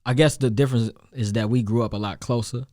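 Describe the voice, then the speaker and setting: A young man from Chicago with a Chicago accent and a deep voice, chatting loosely as a rapper guest, with many restarts and fillers. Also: steady tone, quick pace, mellow, no room sound, clean studio sound. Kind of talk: casual interview chat.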